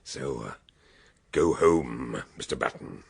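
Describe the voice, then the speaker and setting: An actor playing an eccentric, jovial British detective doctor in a radio drama, with a theatrical, blustering, wry manner. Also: male voice, rumbling low, slow pace, vocal fry, breathy edge, heavily acted. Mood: solemn, kindly. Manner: theatrical, hesitant bumbling mixed with wry pronouncements. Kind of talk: BBC radio drama acting, dialogue and narration.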